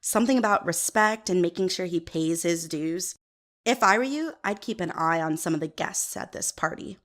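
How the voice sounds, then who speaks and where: A woman's voice: lowish voice, normal speed, lightly acted. An actress playing a snobby, pretentious, airheaded young woman with a condescending, affected manner in an audio drama. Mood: breezy, self-important. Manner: condescending, affected, valley-girl filler words.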